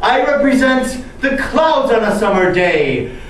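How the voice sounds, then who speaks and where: A woman's voice: a little high, very animated, measured pace. Woman playing a solemn camp spirit, echoey and distant. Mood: mock solemn. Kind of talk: mock-Greek theatrical declamation. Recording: distant, very echoey room with noise.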